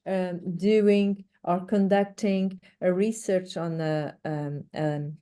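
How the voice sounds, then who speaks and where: A woman from Portugal, a native Portuguese speaker with a Portuguese accent, presents an instructional webinar in a halting, hesitant way with many pauses. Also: on the low side, fairly even intonation, dark tone, clean tone. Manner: hesitant, halting delivery with frequent pauses and repeated words. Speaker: research director presenting a webinar.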